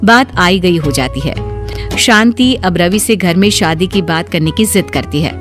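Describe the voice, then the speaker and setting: A woman's voice: lowish voice, expressive, unhurried. A woman reading scripted narration for a moral story. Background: plucked guitar music.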